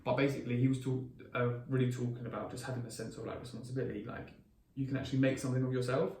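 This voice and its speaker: A man's voice: mid-range voice, level tone, racing, a little mellow, a little hiss or hum. A man of twenty, co-hosting a podcast in casual chat, rambling with many restarts and fillers. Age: 20s. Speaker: podcast co-host. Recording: echoey room, mic at a distance, faint ambience.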